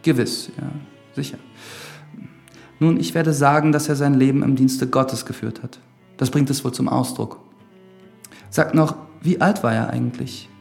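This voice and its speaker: A man's voice: mid-range voice, steady tone, a little slow, creaky, a little mellow, soft background music. An actor playing an earnest, dutiful priest in a German radio drama, formal, pious and probing in his questions. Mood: measured, inquiring.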